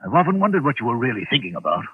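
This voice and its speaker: A man's voice: mid-range voice, sing-song, very fast, husky, phone-quality sound. An actor playing a desperate, haunted wealthy man in an agitated, stammering, insistent manner, in the style of vintage radio suspense. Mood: suspicious. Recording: crackly old radio drama, boxy room echo.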